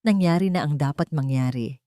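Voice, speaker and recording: A woman reading as a calm forgiving husband. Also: sits low, no echo, studio quality, natural voice. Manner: calm, measured.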